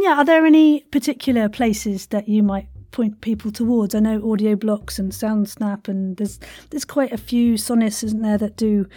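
A woman's voice: medium pitch, dark tone, dry recording, clean studio sound. A woman hosting a casual interview, chatty and encouraging, asking questions in a roundabout way. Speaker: interviewer, podcast host.

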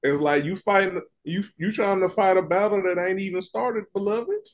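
A man co-hosting a casual gossip podcast, animated and profane, cracking jokes as he talks. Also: sits high, moderate pace. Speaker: podcast co-host.

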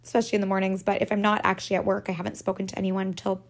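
A woman talks through a relaxed solo podcast in a raspy, slightly hoarse just-woke-up voice. She rambles chattily, laughs often and swears now and then, at a middling pitch for a woman. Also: racing. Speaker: podcast host. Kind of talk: casual solo podcast chat.

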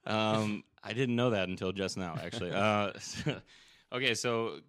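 A man's voice: on the low side, a little slow, fairly bright tone, clear, resonant tone, no echo, studio quality. A young man hosting a podcast interview, chatting casually and rambling with lots of fillers and restarts. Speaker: podcast host.

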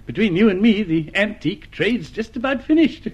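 A genial elderly male shopkeeper in a radio play. His voice is high and breathy, with hesitant, courteous manners. The sound is dull, like an old broadcast. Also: expressive, moderate pace. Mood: genial, confiding. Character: genial elderly junk shop keeper, chatty and courteous. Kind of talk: radio drama acting.

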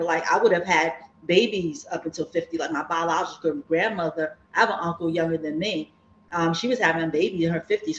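A woman's voice: fairly low pitch, dull audio, a little hiss or hum. Chatty woman in her fifties, roomy sound. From Savannah, Georgia, US. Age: 50s. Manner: chatty, rambling, laughs often. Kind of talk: casual group chat.

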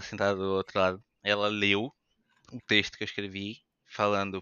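Casting: young Brazilian man, lightly European-tinged accent, animated storyteller, bright and crisp, slightly dull lo-fi audio. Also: fairly low pitch, no echo. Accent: Brazilian Portuguese with some European Portuguese influence. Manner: animated, chatty, acts out quoted voices. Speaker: podcast guest. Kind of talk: casual storytelling interview.

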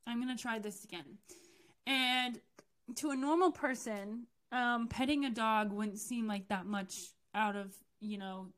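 A young American woman talks through her own experiences in a loose, candid way, full of fillers and quick self-corrections. Her voice is bright and a little high. She sounds like she is telling a friend a story. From United States. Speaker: podcast host. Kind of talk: casual personal storytelling.

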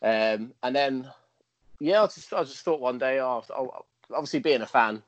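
A man chats easily as a wrestling guest, his voice mid-pitched and ordinary. He stammers on the starts of his sentences. The sound is close and dry. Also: no echo, dull audio. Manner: stammering restarts, quick laughs. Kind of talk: casual interview chat.

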